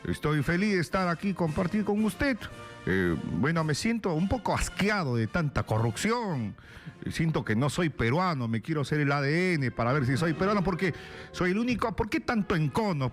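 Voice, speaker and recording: A man puts on a grandiloquent older Peruvian politician, pitched fairly high. He speaks like an orator, full of false humility and self-importance, with music playing behind him. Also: natural voice. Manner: pompous, oratorical.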